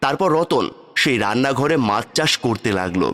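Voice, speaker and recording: A man's voice: medium pitch, animated, moderate pace, a bit bright. A man narrating a scripted cartoon fairy tale as its storyteller.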